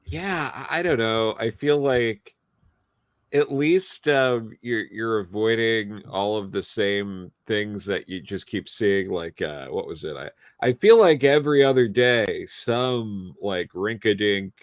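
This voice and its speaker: A man chatting casually as a guest, starting and restarting his sentences in a halting way. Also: lowish voice, dry recording, clean studio sound. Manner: halting, repeats words as he starts. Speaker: guest on a fan live stream. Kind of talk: casual chat.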